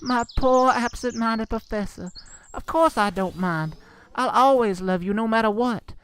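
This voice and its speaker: A woman's voice: on the high side, unhurried, clear, resonant tone, natural voice. A woman playing a devoted young bride in a melodramatic horror audio drama, earnest in manner. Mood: forgiving. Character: young devoted bride, adoring, easily horrified.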